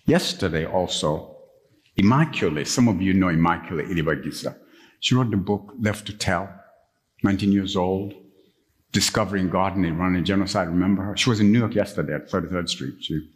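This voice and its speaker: Man from Uganda, preaching, storytelling sermon, lowish voice, echoing church. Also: moderate pace. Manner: storytelling preacher, rising to high, emphatic peaks when stirred. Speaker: pastor. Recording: long reverb of a church.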